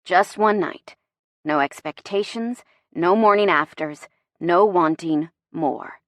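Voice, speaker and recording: A woman voicing a confident, brooding male suitor in a romance audiobook, in a gruff, hushed, serious murmur. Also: lowish voice, lively intonation, no room sound. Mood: intense, serious. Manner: murmuring, deadly serious, deliberate. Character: confident, intense man, seductive brooding suitor.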